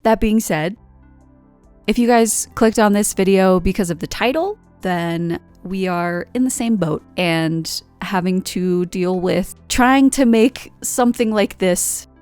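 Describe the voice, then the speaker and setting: A woman's voice: mid-range voice, slow pace, darkish tone, clear, resonant tone, faint music behind. A woman in her twenties from the United States, talking candidly and rambling through a reflective vlog monologue with lots of fillers. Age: 20s. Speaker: art vlogger.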